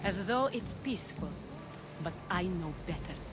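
A woman playing a grave Athenian woman fleeing the city, speaking in a sombre, measured way in a video game. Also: fairly low pitch, animated, background noise, lightly acted. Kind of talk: video game cutscene acting.

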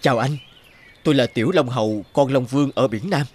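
A man's voice: mid-range voice, brisk, slight background noise, lightly acted. A man voicing a courteous, grateful young dragon prince in a cartoon, speaking formally. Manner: polite, formal.

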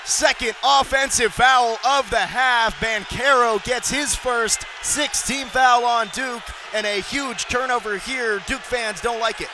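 A young man calling radio basketball play-by-play, rattling off plays and shouting on big moments. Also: extremely high pitch, noisy background. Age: young adult. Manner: rapid excited calls, shouting on big plays. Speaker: play-by-play announcer.

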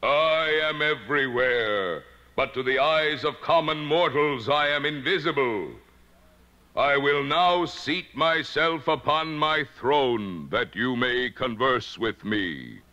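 Man as Oz, slow old humbug wizard. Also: mid-range voice, sharp tone, no room sound, dull audio. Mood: grandly solemn. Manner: slow, stretched words. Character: little old humbug wizard, pompous yet meek.

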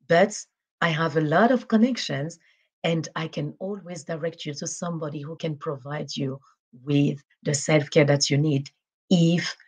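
Middle-aged woman from Cameroon, English; low-pitched, warm, searches for words; webcam call. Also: slightly rough. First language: French. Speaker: guest. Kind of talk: casual video call interview. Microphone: video call webcam.

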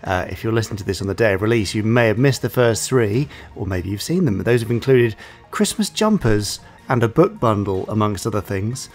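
A man's voice: on the low side, expressive, brisk, a little mellow. Man hosting a podcast over music. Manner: bantering, informal. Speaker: podcast host. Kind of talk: casual podcast chat.

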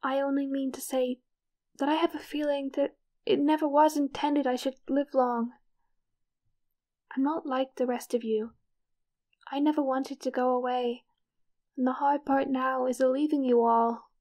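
A frail young woman of nineteen played by an actress in an audio drama. Her voice is high and very even, gentle and resigned, with halting phrases. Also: lightly acted. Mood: weary, resigned.

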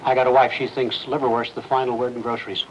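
A grumbling, middle-aged night watchman played by a man with a mid-pitched voice. He is offhand and evasive, drifting off the point in a 1950s TV drama. The old film soundtrack carries a faint noise. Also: flat delivery, fast, dull audio, lightly acted. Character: stubborn, evasive working-class night watchman fussing over lunch. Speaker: actor playing a watchman.

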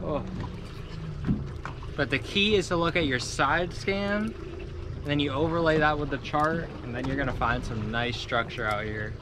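A man's voice: on the high side, normal speed. Chatty young man on a noisy fishing boat. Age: young adult. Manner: upbeat and chatty, talking through tackle and gear. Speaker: fishing channel host. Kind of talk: casual fishing vlog narration. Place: open boat on the sea and a room indoors.

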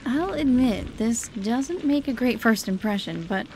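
A woman voicing a gentle, reassuring mother in an amateur comic fandub. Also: fairly high pitch, lively intonation, a little slow, slight background noise, rough recording. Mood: soothing.

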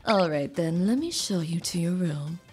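A woman playing a polite, soft-spoken and hesitant lodge keeper in her early thirties in a manga dub. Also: lowish voice, expressive, moderate pace, soft background music, natural voice.

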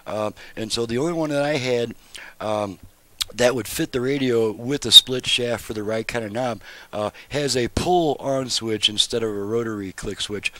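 Older American man, halting hands-on demo. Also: medium pitch, pushed and bright, no room sound. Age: older, around 60s. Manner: plain-spoken, halting, unscripted. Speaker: radio repairer and video host. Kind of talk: casual hands-on product demonstration. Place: indoor home repair workshop. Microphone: headset microphone.

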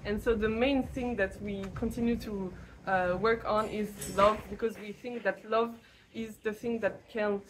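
A young French woman, a native French speaker, giving a casual testimonial to camera in English, halting and searching for words. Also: medium pitch, steady tone, slight background noise, poor audio. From France. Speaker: lay missionary teacher.

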